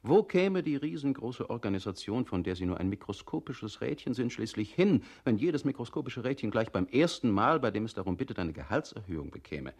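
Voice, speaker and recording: An actor playing a didactic, emphatic commentator in a scripted radio play. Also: male voice, medium pitch, big pitch swings, fairly quick, few pauses, a little mellow. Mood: didactic reasoning. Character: emphatic reasoning male commentator, coolly explaining bureaucracy.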